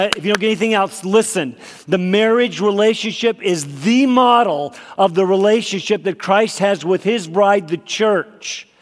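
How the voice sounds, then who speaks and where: A male pastor preaching a sermon, slightly nasal from a cold, emphatic and rising in pitch on his key points. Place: church sanctuary. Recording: long hall reverb.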